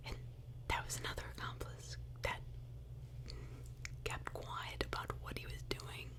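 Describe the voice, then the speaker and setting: A woman telling true crime as ASMR in a breathy, unvoiced whisper, conversational and opinionated, with rhetorical questions. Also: a little slow.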